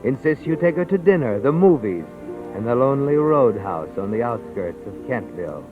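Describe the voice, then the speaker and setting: An actor playing a brooding narrator who addresses the hero in an old radio suspense tale. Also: male voice, mid-range voice, big pitch swings, moderate pace, natural voice. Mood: measured suspense. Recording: tinny old radio broadcast, faint music.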